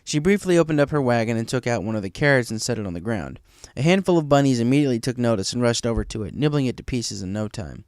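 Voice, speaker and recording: A man's voice: mid-range voice, lively intonation, quick pace, hardly any pauses, darkish tone, dry recording, clean studio sound. A man reading scripted story narration as the narrator of a fan tale.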